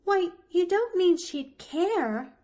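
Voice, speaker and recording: A woman's voice: sits high, very animated, unhurried, darkish tone, voice pushed up. A woman voicing a cheerful, innocent little girl in a storybook, with an eager, earnest, gushing manner.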